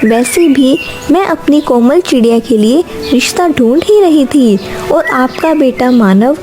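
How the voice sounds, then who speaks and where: A woman's voice: sits high, lively intonation, unhurried, dark tone. A woman voicing a caring, eager middle-aged mother bird in a cartoon moral story, speaking in an earnest, coaxing, polite way.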